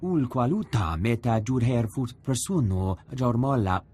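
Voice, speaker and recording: An actor voicing a gruff male alien in a video game, talking in an invented tongue. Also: medium pitch, expressive, a little slow, a little mellow, faint music behind, natural voice. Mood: agitated.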